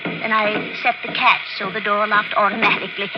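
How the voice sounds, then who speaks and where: An actress playing an excitable, indignant lady, emphatic, anxious and proper, in old-time radio mystery style. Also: mid-range voice, a little fast, muffled recording, natural voice. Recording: old radio drama, band-limited, music behind.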